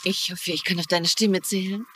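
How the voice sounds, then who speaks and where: A woman's voice: lowish voice, level tone, moderate pace, fairly bright tone, a little hiss or hum, close to an everyday voice. An actress playing a chatty, gushing woman realtor on the campaign trail in a dubbed crime series. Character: chatty, pushy female realtor campaigning for votes. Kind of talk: dubbed TV crime drama dialogue.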